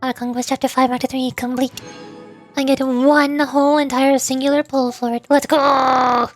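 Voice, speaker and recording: A woman's voice: high-pitched, flat delivery, moderate pace, faint music behind. A woman livestreamer chatting casually over a game in a playful, theatrical way. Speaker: vtuber streamer.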